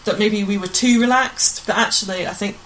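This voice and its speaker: A woman's voice: mid-range voice, fairly quick, over a noisy crowd. A woman chatting informally as an interview guest, telling anecdotes in a self-deprecating way. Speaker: amateur pantomime director, interview guest.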